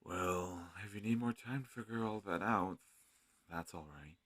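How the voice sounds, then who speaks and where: A man playing an earnest, gentle healer mage in a fantasy audio roleplay, talking hesitantly and thoughtfully to a travel companion. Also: sits low, moderate pace, no room sound. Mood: puzzled, reasoning. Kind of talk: scripted fantasy audio roleplay addressed to the listener.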